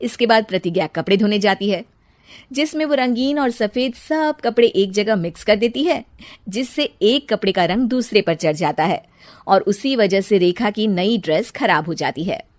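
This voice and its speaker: A woman's voice: mid-range voice, expressive, normal speed, dry recording. A woman reading scripted story narration.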